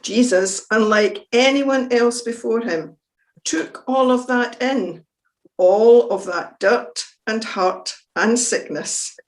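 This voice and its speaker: Woman, church reading, reflective, calm, slow pace, echoey room. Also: fairly high pitch. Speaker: devotional reader. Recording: roomy echo.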